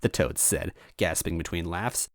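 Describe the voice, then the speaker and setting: A man reading a story aloud as narrator in an animated style, close on the microphone. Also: deep voice, unhurried, creaky, no room sound. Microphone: close microphone, dry.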